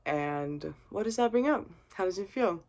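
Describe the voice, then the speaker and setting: A woman's voice: lowish voice, expressive, moderate pace, a little mellow. A woman vlogging a rambling, earnest monologue after a drink, swearing and laughing often. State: has been drinking vodka. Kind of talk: casual reflective monologue.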